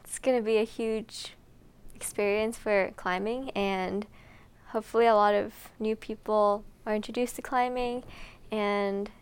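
A woman's voice: mid-range voice, fairly even intonation, measured pace, clear, resonant tone. A young American woman answering interview questions in a bubbly, giggly way, with frequent "like". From United States. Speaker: climber.

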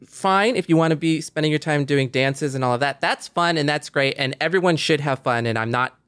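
A man's voice: on the high side, a little fast, a bit bright, clear, resonant tone, no room sound, clean studio sound. A man hosting a podcast in a casual conversational style, speaking in an earnest, encouraging way. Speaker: podcast host.

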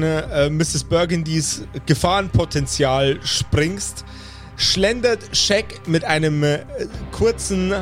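A man improvising narration as game master of a tabletop game, addressing his players with playful formality. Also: fairly high pitch. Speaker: game master of a pen-and-paper podcast.

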